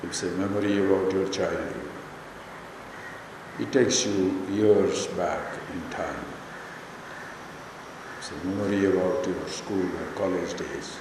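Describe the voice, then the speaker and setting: A man with an Indian accent leading a guided meditation talk as a spiritual teacher, speaking in measured, deliberate short phrases with pauses. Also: low-pitched, steady tone. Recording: echoey hall, long reverb, background noise.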